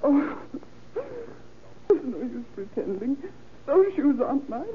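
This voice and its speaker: An actress playing a faded, anxious little housewife in an old radio crime drama, hesitant, flustered and often stammering. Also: female voice, sits high, big pitch swings, slow pace, a crowd in the background, full character voice. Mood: ashamed, flustered. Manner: hesitant, stammering, repeats words. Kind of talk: 1930s-40s radio crime drama acting. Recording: old tinny radio recording with noise.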